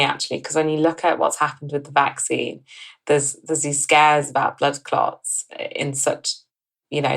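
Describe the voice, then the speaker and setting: Woman from the north of england, 30s, deep and a bit gravelly, chatty guest, lots of ums. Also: fairly bright tone, poor audio. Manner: chatty, with frequent ums and restarts. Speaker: guest, company co-founder. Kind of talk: podcast interview.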